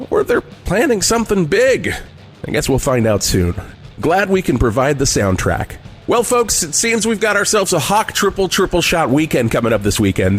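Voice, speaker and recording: A man playing a deadpan classic rock radio DJ, delivering showy patter in a parody radio shift. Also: on the high side. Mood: deadpan, upbeat. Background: music behind the voice.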